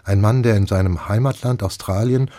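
Male radio host, fairly low voice. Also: moderate pace, warm tone. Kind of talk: scripted presenting and interviewing. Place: radio studio.

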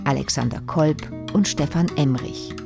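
A woman announcer reading radio play credits in a scripted broadcast style, with measured delivery. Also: low voice, vocal fry. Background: guitar music.

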